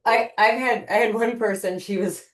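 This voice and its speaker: A woman's voice: a little high, normal speed. A woman in her fifties, a guest on a podcast, chatting casually and telling stories with lots of restarts and acted-out quotes. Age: 50s. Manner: animated storyteller, many restarts and acted quotes. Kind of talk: casual interview chat. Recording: roomy echo.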